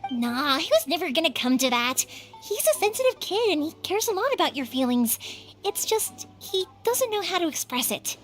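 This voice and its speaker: Chatty, effusive young female fireworks maker for a game: very high pitch, lively intonation. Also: normal speed, soft background music. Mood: gentle, encouraging. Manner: effusive, rambling, eager to reassure. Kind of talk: English video game voice acting.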